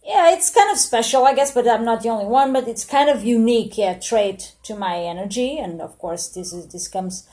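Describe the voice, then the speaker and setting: A woman's voice: fairly high pitch, lively intonation, normal speed, hardly any pauses, warm tone. A woman in her late thirties from Portugal, talking to camera in an earnest, reflective monologue full of hesitations and restarts. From Portugal, born in Angola. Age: 30s. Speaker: vlogger.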